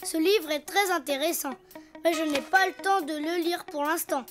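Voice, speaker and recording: A woman's voice: very high-pitched, moderate pace, over music. A woman voicing a cheerful, imaginative little boy donkey in a French preschool cartoon, playfully talking to himself. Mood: playful, self-amused. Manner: playful, self-narrating, exclaiming.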